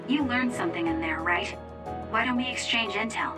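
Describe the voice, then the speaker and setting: A woman's voice: a little high, a little fast, music in the background, natural voice. A woman voicing a businesslike young female platoon leader calling in, in an anime-style game. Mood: brisk, businesslike.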